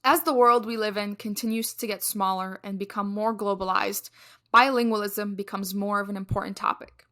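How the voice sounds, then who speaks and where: A young adult woman from Egypt co-hosting a podcast, telling stories in a lively way with frequent fillers and restarts. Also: mid-range voice, moderate pace. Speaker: podcast co-host. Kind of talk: casual podcast chat.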